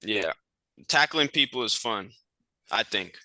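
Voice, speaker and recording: A man's voice: fairly low pitch, expressive, slow pace, bright, forceful tone, no echo, poor audio. A young man student answering questions on a video call, hesitant with many ums. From United States.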